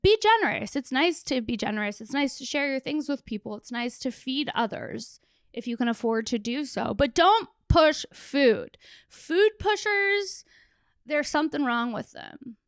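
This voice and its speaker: Young female comedy podcaster, blunt and jokey, exhausted hoarse voice with heavy fry, close dry mic. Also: high-pitched, animated, a little slow, dry recording. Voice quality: hoarse, gravelly. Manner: blunt, sweary, joking. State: hoarse, worn-out voice after a festival. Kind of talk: casual solo podcast riffing. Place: small plain room indoors. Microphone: handheld microphone held close.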